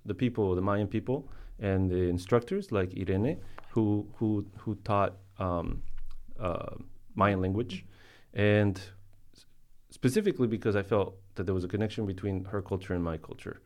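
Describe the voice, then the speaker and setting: Low-voiced guy from colombia, native spanish speaker, chatting on a podcast, kinda halting. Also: a little mellow. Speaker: professor, podcast guest. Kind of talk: casual podcast interview talk.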